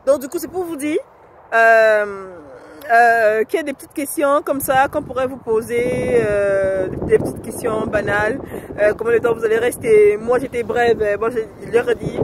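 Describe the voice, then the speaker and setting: A woman's voice: on the high side, moderate pace. A woman with the flu vlogging outdoors by a lake, chatting casually and rambling with many fillers and self-corrections. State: has the flu. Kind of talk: casual vlog advice. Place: outdoors by a lake in freezing wind. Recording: loud wind noise outdoors.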